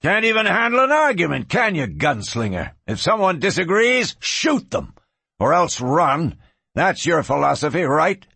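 A man's voice: medium pitch, big pitch swings, moderate pace, fairly bright tone, breathy edge, no echo, full character voice. A man voicing an arrogant, domineering cattle baron in a Western storybook, explosive and sneering. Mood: furious. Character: arrogant, hot-tempered Western cattle baron, bullying older brother. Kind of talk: dramatised audiobook reading.

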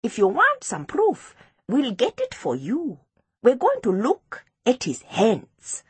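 A woman's voice: on the high side, wildly swooping pitch, slow pace, slightly rough, no room sound, pitched above the performer's own voice, exaggerated voice. Woman playing a cocksure, accusing little schoolboy. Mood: smug. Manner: insistent, smug.

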